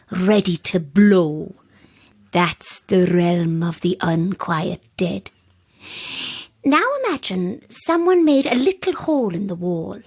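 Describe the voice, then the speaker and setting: A woman playing an intense, theatrical medium in an audio drama, speaking deliberately and ominously in a husky voice. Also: lowish voice, a little mellow, exaggerated voice. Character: intense, theatrical female spirit medium and empath.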